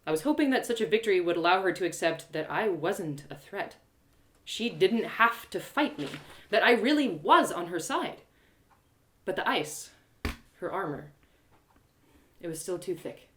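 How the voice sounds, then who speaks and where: A woman performing a first-person adventure story as a live reading, dramatic and expressive. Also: on the low side, moderate pace, long pauses, slight background noise.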